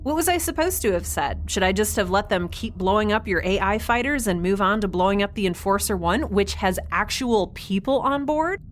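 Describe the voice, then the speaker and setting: Woman as a lively, defiant young spacer, sarcastic. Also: medium pitch, fairly quick, few pauses, slight background noise, lightly acted. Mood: breezy, sarcastic. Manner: flippant, casual, expressive. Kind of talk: scripted audio drama acting.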